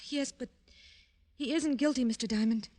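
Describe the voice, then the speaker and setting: An actress playing an earnest, pleading female client in an old radio detective drama, polite and soft-spoken. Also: high voice, moderate pace. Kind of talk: 1940s-50s radio drama acting. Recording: vintage radio drama recording.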